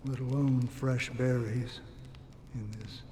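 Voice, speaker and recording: An actor playing a mild, curious male traveler in a game, talking gently and conversationally. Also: mid-range voice, fairly even intonation, takes it slowly, close to an everyday voice. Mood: gentle, puzzled. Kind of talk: quiet game dialogue. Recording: long reverb, faint ambient noise.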